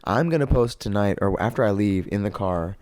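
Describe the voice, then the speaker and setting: A man's voice: fairly low pitch, brisk, a little mellow, no room sound. A man chatting casually as a podcast guest, rambling playfully and using a lot of slang. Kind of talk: casual chat.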